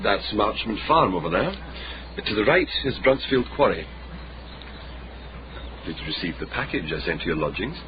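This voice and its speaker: Man acting a learned gentleman lawyer, formal wordy diction, fairly low voice, animated, radio drama. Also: moderate pace, long pauses, natural voice. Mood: genteel, guarded. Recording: dull radio drama, clear background noise.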